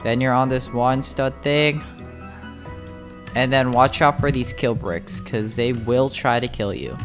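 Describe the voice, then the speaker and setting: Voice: mid-range voice, measured pace. Chatty gamer guiding viewers, music behind. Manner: chatty, informal, addresses viewers as buddies. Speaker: gamer making a tutorial. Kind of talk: casual video game walkthrough commentary.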